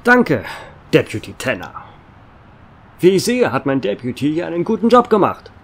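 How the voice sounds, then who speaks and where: A man's voice: a little high, big pitch swings, normal speed, fairly bright tone, heavily acted. A man playing a weary, authoritative small-town sheriff with a dry sarcasm, in an amateur audio drama. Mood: brisk, dismissive. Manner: authoritative, sardonic.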